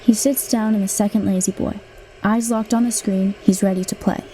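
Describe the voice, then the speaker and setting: A woman's voice: mid-range voice, fairly even intonation, normal speed, noisy background, close to an everyday voice. A young woman playing a matter-of-fact narrator who describes the action in a comic radio play. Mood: deadpan. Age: young adult. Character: deadpan narrator describing players' moves in sports parody.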